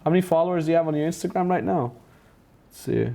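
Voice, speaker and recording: A man's voice: fairly high pitch, quick pace, vocal fry, mellow. A man with a German accent hosting a casual interview as a business mentor, enthusiastic and full of praise. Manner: enthusiastic, praising, many restarts.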